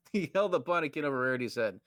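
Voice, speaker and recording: A man's voice: fairly high pitch, animated, quick pace, fairly bright tone, no echo. A man reading a fanfic aloud to friends, often breaking into laughter mid-sentence. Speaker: fanfic reader.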